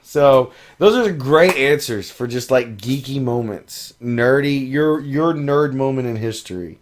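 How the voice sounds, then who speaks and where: A man co-hosting a podcast in casual chat, hoarse from losing his voice and laughing often. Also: mid-range voice, a little slow. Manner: laughs often, rambling and loose. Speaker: podcast co-host.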